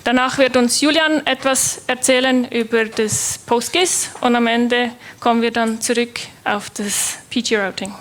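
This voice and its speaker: A woman's voice: fairly high pitch, steady tone, measured pace, few pauses, fairly bright tone. A young woman with a Swiss German accent, a developer giving a technical conference talk, hesitant with many fillers and restarts. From Switzerland.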